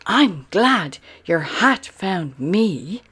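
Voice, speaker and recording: A woman voicing an excitable, polite young male puppy in a children's storybook, with childlike, eager exclamations. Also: medium pitch, very slow, husky, soft background music, full character voice. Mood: delighted.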